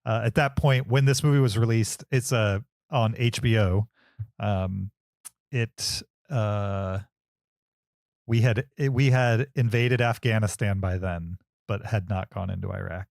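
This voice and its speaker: A man's voice: on the low side, measured pace, creaky, darkish tone, dry recording, clean studio sound. A man co-hosting a film podcast, explaining things casually in a hesitant, roundabout way.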